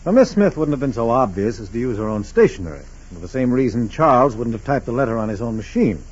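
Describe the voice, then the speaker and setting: A steady federal investigator from a 1940s radio drama, husky and businesslike. His pitch moves freely as he lays out facts with clipped deliberation. The old recording is dull and muffled. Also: fast. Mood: reasoning. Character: steady, methodical male FBI special agent investigating a case.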